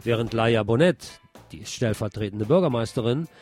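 A man reads scripted news items for a radio show. His voice sits at an average pitch for a man, and music plays behind it. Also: expressive, moderate pace, a little mellow.

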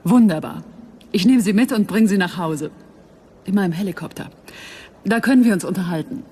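Woman acting a coaxing young charmer, mid-pitched. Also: fairly quick, lightly acted. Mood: breezy, flattering. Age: young adult. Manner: flattering, coaxing, smooth-talking. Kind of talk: radio drama acting.

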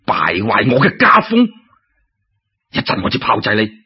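A man's voice: lowish voice, wildly swooping pitch, moderate pace, slightly rough, voice pushed down, heavily acted. A man voicing a stern, menacing older uncle who scolds harshly and scornfully with a Cantonese accent, in a told story. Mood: furious. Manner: harsh, scornful, dark-tempered. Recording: dull lo-fi radio-like recording.

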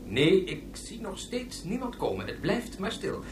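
A woman's voice: mid-range voice, very animated, measured pace. A woman playing a dramatic, gushing live television reporter in a radio drama, insistently addressing her viewers. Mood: measured suspense. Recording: echoey, noisy radio play recording.

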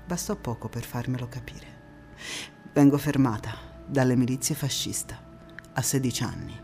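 An actress playing a passionate young Italian antifascist woman, recounting her life in a theatrical monologue with dramatic pauses and emphatic repetitions. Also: rumbling low, creaky, mellow, soft background music, exaggerated voice. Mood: grave, hushed. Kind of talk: theatrical stage monologue, first-person storytelling.

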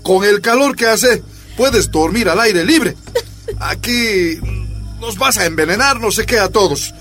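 A bitter husband in a radio drama, high-voiced and swinging widely in pitch. He talks colloquially, complaining and blaming with scorn. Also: lively intonation, a little slow, fairly bright tone, faint music behind, heavily acted. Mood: furious. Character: angry, blaming husband of a mining family.

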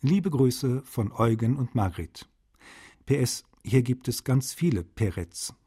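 A man's voice: medium pitch, lively intonation, takes it slowly, dark tone, husky. A man playing a friendly, wry translator, reading his letters aloud in a radio feature. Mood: relaxed, friendly.

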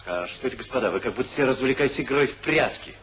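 An actor playing a rakish, witty young gentleman with a teasing, theatrical manner in a 1950s radio comedy. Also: mid-range voice, lively intonation, fast talker, close to an everyday voice. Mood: amused mockery. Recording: hissy 1950s radio play recording.

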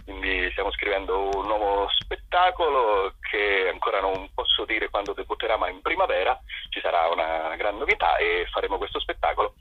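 A man chatting casually as an interview guest, a husky voice and a rambling, hesitant, jokey and self-deprecating manner. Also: low voice, lively intonation, normal speed. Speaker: interview guest, theatre company performer. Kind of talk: casual radio interview chat. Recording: dull, boxy, roomy phone-line sound.

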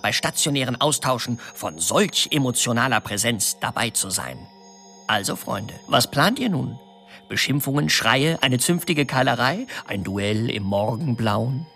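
Flamboyant, florid busybody peacemaker for radio drama, very animated, faint music behind. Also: male voice, mid-range voice. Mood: amused, provoking. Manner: florid, theatrical, pompous. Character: flamboyant, self-important male peacemaking busybody. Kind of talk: science fiction radio drama acting.

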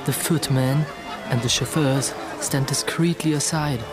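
A man's voice: medium pitch, steady tone, unhurried, a loud crowd, natural voice. A man narrating in a detached, literary manner within a radio drama. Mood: detached, observing. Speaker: narrator reading in English. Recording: loud music behind voice.

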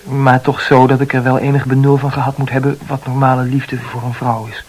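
An actor playing a self-absorbed, intense man in a Dutch radio play, talkative and self-analysing, with halting repetitions. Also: medium pitch, flat delivery, close to an everyday voice, low-quality recording. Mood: confessional.

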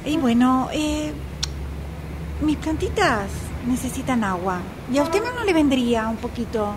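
A woman's voice: high voice, expressive, a little slow. A woman with an Argentine accent playing an oblivious, teasing upstairs neighbour in an amateur radio play, cutesy and needling. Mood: breezy, needling. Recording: amateur workshop recording with background noise.

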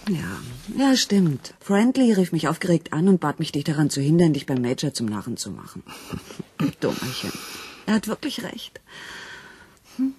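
An actress playing a soothing, gently teasing partner in a science fiction radio play, speaking in an affectionate, coaxing manner. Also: female voice, sits low, very animated, moderate pace, slightly rough, heavily acted.

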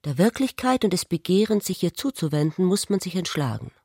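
A woman reading literary narration in a measured, contemplative manner for a radio play. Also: lowish voice, big pitch swings, a little mellow, slightly rough, no echo, studio quality.

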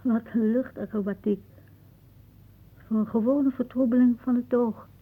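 An actress playing a weary, bitter older aunt in a radio drama, musing theatrically. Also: female voice, a little high, fairly even intonation, moderate pace, breathy edge. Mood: brooding, sardonic. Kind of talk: radio drama acting, monologue-like. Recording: muffled, narrow-band old radio recording.

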